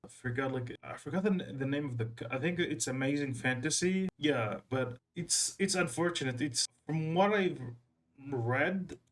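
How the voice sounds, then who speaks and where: A man hosting a reaction video with casual, off-the-cuff commentary, talking in a rambling, halting way and repeating himself. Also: fairly high pitch.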